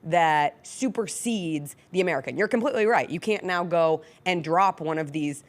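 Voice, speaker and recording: A woman's voice: lowish voice, moderate pace. A woman hosting a sports talk show, giving articulate, detailed conversational commentary.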